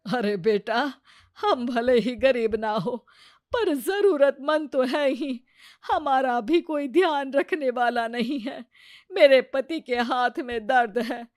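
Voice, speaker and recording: A woman's voice: high voice, slow, no room sound, studio quality. A woman voicing a patient older mother-in-law in a cartoon moral story, motherly, plaintive and weary. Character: patient, long-suffering older mother-in-law, gently resigned.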